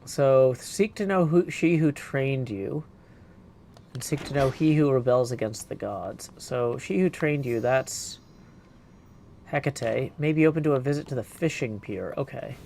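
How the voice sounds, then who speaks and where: A man's voice: mid-range voice, unhurried, warm tone. A man giving casual live commentary as he streams a video game. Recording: clear background noise.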